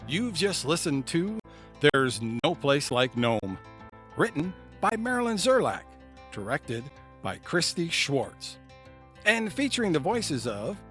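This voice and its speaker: A man's voice: fairly high pitch, fairly bright tone. A man reading scripted closing credits as an announcer.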